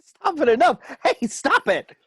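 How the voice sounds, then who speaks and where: A man's voice: extremely high pitch, very animated, a little slow, pushed and bright, dry recording, studio quality, full character voice. A man playing an uptight, earnest grown father in a table read of a film script. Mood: exasperated.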